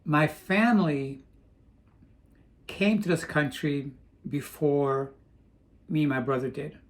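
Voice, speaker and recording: A man's voice: on the high side, slow. A man from Portugal chatting casually in a vlog, rambling with frequent restarts. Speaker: vlogger. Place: home in Florida.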